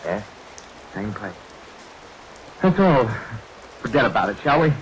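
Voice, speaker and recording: A man's voice: medium pitch, sing-song, unhurried, highs cut off. An actor playing a stubborn, brusque man who dismisses others' fears in a vintage radio play. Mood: brusque, resolute. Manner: impatient, brusque. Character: stubborn, dismissive man insisting all is normal. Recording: vintage radio recording with rain noise. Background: rain.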